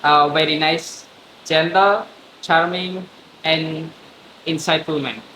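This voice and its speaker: A man's voice: a little high, steady tone, slow. A man hosting a casual podcast interview who asks questions in a playful, jokey way. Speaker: podcast host. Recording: echoey room with hiss.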